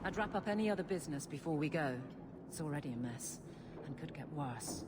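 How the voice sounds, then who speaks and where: A woman's voice: low voice, lively intonation, fairly quick. A woman playing a wary, dutiful warrior companion in a video game, with a blunt, formal delivery. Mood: stern. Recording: reverberant, noisy game ambience.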